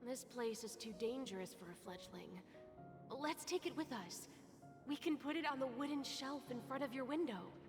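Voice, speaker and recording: A woman's voice: sits high, normal speed, natural voice. An actress playing a serious, cautious young scholarly boy, a protective older brother, in a video game. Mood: curious, careful. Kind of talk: video game cutscene acting. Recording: long reverb, music behind.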